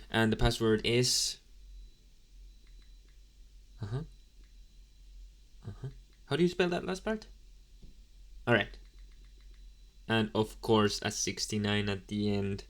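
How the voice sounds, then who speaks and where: A man playing an inexperienced, sleepy young demon in an ASMR roleplay, talking casually to the listener with halting, deadpan, mildly snarky asides. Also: lowish voice, exaggerated voice. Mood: casual, bargaining.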